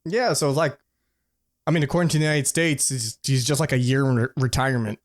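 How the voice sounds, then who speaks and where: A man's voice: medium pitch, fairly even intonation, brisk. A man co-hosting a podcast, chatting in a laid-back, informal way. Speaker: podcast co-host. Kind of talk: casual chat.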